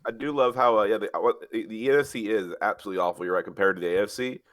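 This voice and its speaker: A man's voice: fairly low pitch, very fast, fairly bright tone, no echo, studio quality. A young man hosting a casual sports podcast, a bit congested from a cold, rambling with many fillers and swearing. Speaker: podcast host.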